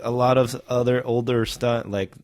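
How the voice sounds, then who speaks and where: A man's voice: medium pitch, steady tone, normal speed, a little mellow. A middle-aged man whose first language is Vietnamese, talking casually and animatedly as a podcast guest and stunt performer.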